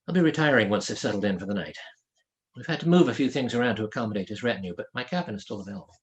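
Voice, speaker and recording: A man's voice: on the low side, lively intonation, rapid-fire, voice pushed down, close to an everyday voice. A commanding woman captain of a sailing ship, voiced by a male narrator in a fantasy audiobook. The speech is formal, firm and clipped, with intonation that shifts markedly. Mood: controlled, private. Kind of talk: fantasy audiobook character dialogue.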